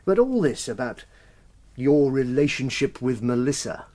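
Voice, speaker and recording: Actor as a sceptical detective, varied intonation. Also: male voice, mid-range voice, lively intonation, lightly acted. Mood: sceptical, probing. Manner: measured, questioning, quietly doubtful. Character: probing, sceptical police detective inspector, measured and dry. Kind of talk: naturalistic radio drama acting.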